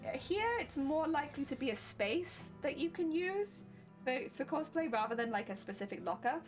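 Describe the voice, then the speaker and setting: A woman's voice: high voice, animated, moderate pace, faint music behind. A woman chatting casually on a livestream, explaining her sewing steps as she works and laughing often. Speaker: cosplay crafting streamer.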